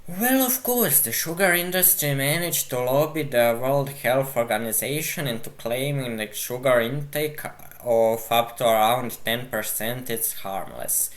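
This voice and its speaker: Man, slow deliberate delivery, blunt and argumentative, commenting on a video. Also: mid-range voice. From Croatia. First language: Croatian. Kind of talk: responding to a video.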